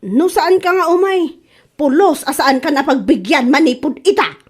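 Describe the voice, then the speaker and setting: An actress with an Ilocano accent playing a fretful, gossiping, nagging wife in a radio comedy drama, whiny and exclamatory. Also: female voice, sits high, animated, moderate pace. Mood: nagging, pleading.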